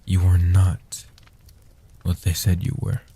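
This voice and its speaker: Man as a deep, breathy guardian vampire. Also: monotone, dark tone, heavily acted. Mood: tender, reassuring. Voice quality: breathy, husky, half-whispered. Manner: slow, soothing, reassuring. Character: gentle old male guardian vampire, tender and protective. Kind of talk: soft-spoken comfort audio roleplay.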